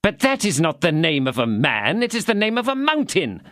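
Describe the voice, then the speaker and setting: A man's voice: on the high side, huge pitch swings, a bit bright, dry recording, clean studio sound, pitched above the performer's own voice. A man doing a pompous, stern official interrogator. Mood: confused. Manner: interrogating, officious, slow and emphatic. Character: stern, pompous male police commissary.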